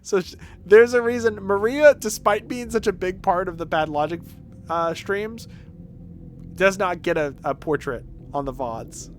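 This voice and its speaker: A man doing casual livestream game commentary in an animated, irreverent and crude way. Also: high-pitched, unhurried, soft background music. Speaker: game streamer.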